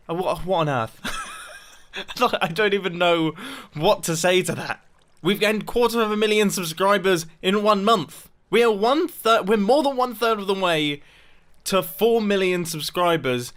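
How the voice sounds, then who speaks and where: A young man giving casual, excitable commentary over a video game, laughing often and joking about himself. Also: a little high, slight background noise. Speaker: gaming YouTuber.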